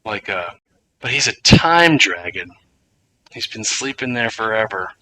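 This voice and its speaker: A man's voice: on the low side, sing-song, measured pace, bright, forceful tone, poor audio. A man co-hosting a podcast, chatting casually and enthusiastically about tabletop games. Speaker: podcast co-host. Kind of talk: casual tabletop gaming chat.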